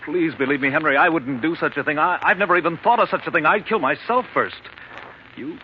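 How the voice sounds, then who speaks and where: An actor playing a frantic wealthy man who fears for his sanity in a 1940s radio thriller, agitated, halting and repeating himself. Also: fairly high pitch, close to an everyday voice. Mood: pleading. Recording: scratchy, dull 1940s radio recording.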